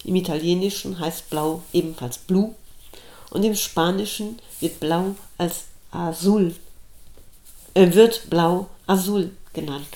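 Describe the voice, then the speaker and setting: Middle-aged woman, unhurried reading voice, a little low, reflective essay, roomy echo. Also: dark tone. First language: German. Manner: slow, measured, thoughtful reading.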